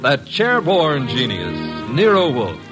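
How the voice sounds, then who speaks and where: A man's voice: mid-range voice, wildly swooping pitch, takes it slowly, over music. A man as an old-time radio announcer, theatrical and declamatory. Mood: showy, dramatic. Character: showy male radio announcer introducing a detective series. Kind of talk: 1940s-50s radio announcing.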